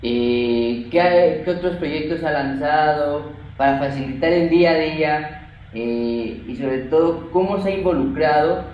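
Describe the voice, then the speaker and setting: A woman's voice: low-pitched, unhurried. A woman interviewer asking formal questions, piecing them together hesitantly. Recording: very echoey, slightly dull, faint noise.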